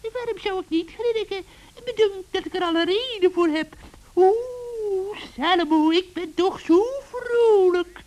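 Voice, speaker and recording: A woman playing a gleeful, malicious old witch in a children's radio play, with an ugly cackling voice and drawn-out gloating. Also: very high voice, a little mellow. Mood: smug. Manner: giggling, drawn-out, theatrical gloating.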